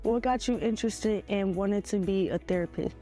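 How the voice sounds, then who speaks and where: A teenage girl with a mellow, soft-toned voice reads a scripted introduction and works through prepared interview questions in a steady way. Faint music drifts in behind her. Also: medium pitch, normal speed. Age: late teens. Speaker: student interviewer.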